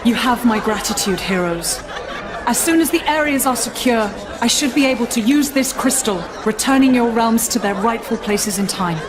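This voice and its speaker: An actress playing a regal woman torn between loyalty and conscience in a game cutscene, with an earnest, measured delivery. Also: fairly high pitch, expressive, crowd noise, noisy background, lightly acted. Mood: grateful. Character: regal woman, villain's conflicted consort. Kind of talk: video game cutscene acting. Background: game music.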